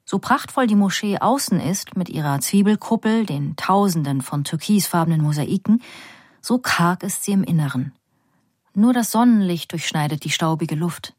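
Low-voiced woman reading an audiobook. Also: dry recording, clean studio sound. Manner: calm, vivid, measured storytelling. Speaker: audiobook narrator. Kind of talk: first-person audiobook narration.

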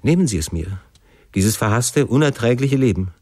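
A man's voice: deep voice, measured pace, a little mellow, no room sound. A man narrator plays a passionate young son in a classic story. He implores with fervent emotion and open desperation. The recording is close and dry. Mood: desperate.

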